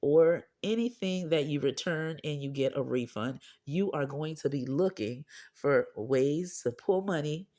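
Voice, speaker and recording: A woman with a cold and a hoarse, deep, husky voice, chatting with viewers as the host of a budgeting channel. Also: measured pace, hardly any pauses, mellow. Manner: pushes her voice out to sound clearer; chatty, often asks viewers okay or right. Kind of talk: casual chat to camera.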